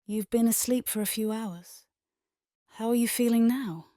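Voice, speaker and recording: A woman playing a calm, reassuring doctor in an audio comic, with a soothing, measured manner. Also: on the high side, no room sound, studio quality, lightly acted. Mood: calm concern. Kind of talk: audio comic dramatic acting.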